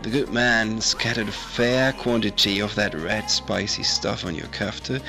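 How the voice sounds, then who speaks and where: A man with a lowish, gravelly voice tells a long, playful story to a departing friend, fond and a bit teasing. Clear music runs underneath the whole time. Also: fairly even intonation, a little slow. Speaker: friend giving a farewell message. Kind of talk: anecdotal farewell storytelling.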